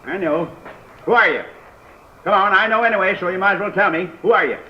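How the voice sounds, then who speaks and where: A man's voice: sits high, fairly quick, close to an everyday voice. An actor playing a distraught, paranoid gunman in a 1950s radio crime drama, agitated and repeating himself in folksy, ungrammatical speech. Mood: paranoid, threatening. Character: distraught paranoid gunman, cornered, self-pitying, uneducated drifter. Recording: muffled old radio broadcast, faint noise.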